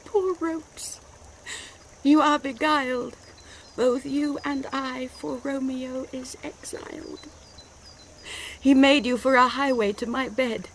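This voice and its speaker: An actress with a British accent playing a passionate, distraught young bride in classical Shakespearean radio drama, phrasing verse theatrically. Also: female voice, high voice, steady tone, slow pace, a little hiss or hum. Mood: despairing, subdued.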